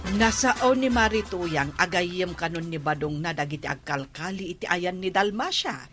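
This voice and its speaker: An actress playing an inquisitive, fretting village official's wife with a gossipy, questioning manner in a radio comedy drama. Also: female voice, lowish voice, animated, normal speed, a bit bright, faint music behind. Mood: surprised, questioning.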